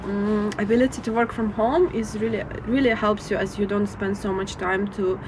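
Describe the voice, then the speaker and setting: A woman's voice: mid-range voice, fairly even intonation, normal speed, noisy background. A young adult woman whose native language is Russian, chatting as an interview guest, hesitant with many fillers and restarts. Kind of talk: casual interview chat.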